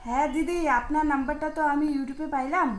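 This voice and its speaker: A woman's voice: high voice, steady tone, fast, roomy sound, noisy background. A woman making a prank phone call while posing as a fan, giving short replies. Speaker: prank caller.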